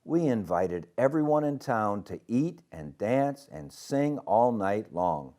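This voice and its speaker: A man's voice: mid-range voice, sing-song, takes it slowly, dark tone. Man reading as a fond, nostalgic storybook grandma. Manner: fond, reminiscing.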